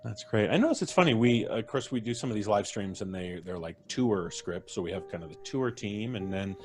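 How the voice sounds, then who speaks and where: A man's voice: fairly low pitch, expressive, a little fast, faint music behind. A man hosting a live-streamed show, chatting casually and jokingly as he introduces his guests. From Canada. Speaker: host. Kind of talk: casual livestream chat.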